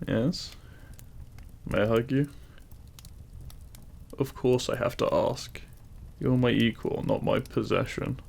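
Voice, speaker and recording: An actor playing a demon king, a deliberate and intimate lover, speaking straight to the listener in a romantic audio roleplay. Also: medium pitch, vocal fry, darkish tone, full character voice. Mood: loving, gentle. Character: feared demon king, menacing in public, tender lover in private.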